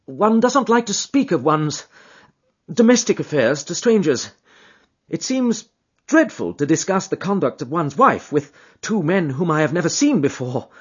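A man voicing a distressed, reserved man in his thirties who speaks in sharp, jerky outbursts. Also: a little high, big pitch swings, measured pace, husky, muffled recording, pitched above the performer's own voice, exaggerated voice. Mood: distraught.

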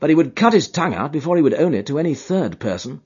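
A man reading aloud voices a sharp, aloof male detective, quick and superior. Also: a little high, big pitch swings, breathy edge, voice pushed up. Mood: languid. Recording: dull lo-fi recording.

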